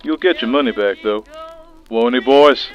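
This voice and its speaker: A man playing a smug, teasing treasury agent in an audio drama, with a sardonic, smooth-talking manner. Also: medium pitch, moderate pace, close to an everyday voice. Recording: dull, band-limited, music behind.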